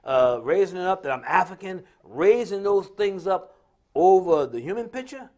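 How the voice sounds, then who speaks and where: A man's voice: high voice, lively intonation, normal speed, a little mellow. His voice sits well above most men's. He is an American speaker giving unscripted religious social commentary. He talks in a colloquial, storytelling way and hammers points home by repeating them. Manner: emphatic, repetitive, colloquial storytelling. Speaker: speaker on a religious talk live stream. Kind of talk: impromptu preaching-style social commentary.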